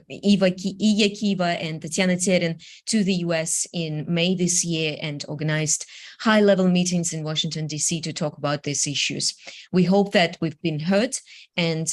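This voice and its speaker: Lowish-voiced woman giving an earnest welcome. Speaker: event co-host. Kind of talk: prepared welcome speech.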